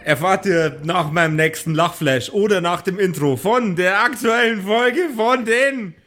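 A man's voice: sits high, measured pace, a bit bright. A man casually narrating an improvised role-play audio drama as its game master.

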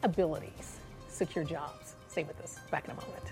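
A woman's voice: low voice, steady tone, fairly quick, over loud music. A woman hosting a broadcast interview, speaking in an encouraging, affirming way. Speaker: talk show host.

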